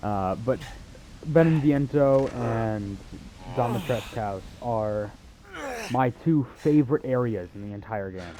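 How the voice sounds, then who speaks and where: A man's voice: medium pitch, expressive, measured pace, dark tone, poor audio. A young man giving casual, joking game commentary in a rambling, sarcastic way. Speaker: gamer.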